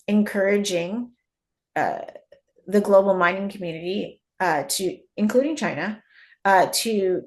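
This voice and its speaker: Canadian-born woman, halting explanatory talk. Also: fairly low pitch. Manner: frequent restarts and repeated words, thinking aloud. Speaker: guest, mining industry expert. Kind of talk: conversational interview.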